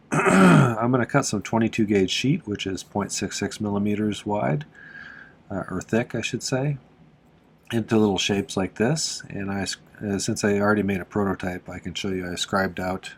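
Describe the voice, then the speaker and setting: Low-voiced congested man teaching a craft. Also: normal speed, slight background noise. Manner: relaxed and chatty, with frequent "uh" fillers and small laughs. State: congested from allergies, slightly nasal. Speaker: silversmith teaching on his channel. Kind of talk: casual how-to narration.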